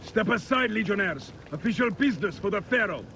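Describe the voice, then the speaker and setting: An officious Roman military man for a game drama. He is stiff and formal, pitched a little high. Light noise sits behind him. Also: expressive, fairly quick, creaky, pushed and bright, heavily acted. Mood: brisk command. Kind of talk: video game dramatic acting. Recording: faint background noise.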